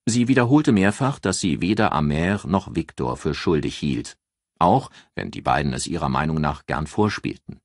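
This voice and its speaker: Male novel narrator: very low, husky voice, measured scripted read, dry recording. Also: animated, no echo.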